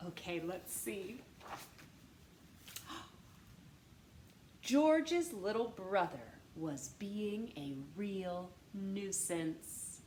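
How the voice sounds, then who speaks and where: A woman reading a story aloud to children in an animated, teacher-like way, stressing words and posing questions. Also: medium pitch, very slow, long pauses. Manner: expressive teacher-like delivery, dramatic gasps and emphasis. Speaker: storytime reader. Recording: roomy echo with faint background noise.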